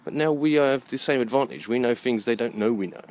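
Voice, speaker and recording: A man giving a rambling, halting monologue as he walks outdoors, musing and questioning himself. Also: mid-range voice, a little mellow. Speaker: vlogger. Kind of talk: rambling walk-and-talk monologue. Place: outdoors, walking along a muddy alleyway.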